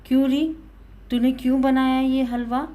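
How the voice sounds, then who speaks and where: A woman's voice: high voice, flat delivery, moderate pace, dark tone, pitched above the performer's own voice, lightly acted. A woman voicing a stern older mother-in-law in a dramatised story, nagging with accusing, scornful questions. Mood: angry, scornful.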